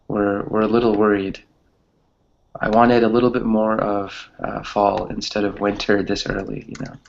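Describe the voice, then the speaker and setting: A man's voice: on the low side, little pitch movement, normal speed, creaky. A man chatting casually as an online class teacher, hesitant and often repeating phrases, on slightly muffled audio. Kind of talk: casual chat with students.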